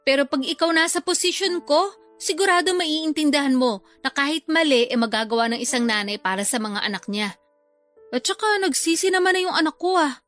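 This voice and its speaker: A woman's voice: sits high, animated, brisk, a bit bright. A Filipino woman playing a defensive, sulky older sister in a radio drama, pleading and wheedling. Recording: music under the voice.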